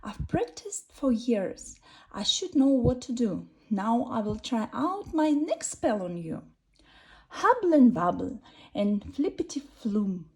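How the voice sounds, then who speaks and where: A woman's voice: a little high, sing-song, takes it slowly, a little mellow, husky, full character voice, rough recording. A woman voicing a little female witch who is impatient and hot-tempered, exclaiming in huffy bursts. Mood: dismayed.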